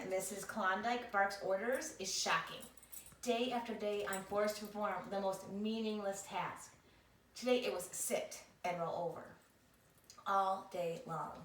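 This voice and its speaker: A woman's voice: medium pitch, fairly bright tone. A woman voicing a melodramatic, self-pitying male pet dog with theatrical, wheedling complaints in a picture-book read-aloud. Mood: indignant. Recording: echoey room, distant microphone.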